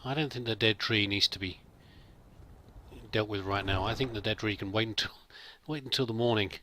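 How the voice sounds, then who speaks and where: A man's voice: fairly low pitch, brisk, pushed and bright. A man giving casual, in-character roleplay commentary over a video game, rambling politely and hesitantly. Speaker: let's play host. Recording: faint background noise with game ambience.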